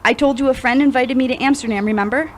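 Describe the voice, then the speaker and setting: A woman acting as a troubled young woman in an audio drama. Her voice sits fairly high and she speaks earnestly, as if confessing something painful. There is some noise behind her. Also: fast, natural voice. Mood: pained, confessing. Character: troubled young woman opening up about past grief.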